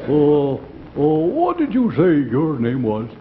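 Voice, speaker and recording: A man voicing a slow-witted country bumpkin dummy boy in a halting, dopey drawl for a television comedy routine. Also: a little high, huge pitch swings, a crowd in the background, full character voice. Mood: dopey confusion. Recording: dull 1950s TV kinescope, noisy, audience.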